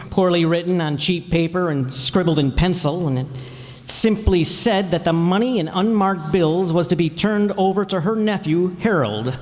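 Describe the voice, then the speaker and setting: A man's voice: fairly high pitch, a little mellow, lightly acted. A man playing a matter-of-fact investigator, acting and narrating in an old-time radio drama. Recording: echoey hall, long reverb.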